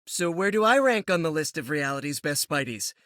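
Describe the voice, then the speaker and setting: A man's voice: on the high side, big pitch swings, fairly quick, bright, forceful tone, no room sound, studio quality. An actor playing a joking young male web-slinging hero with a playful, quippy manner in a video game.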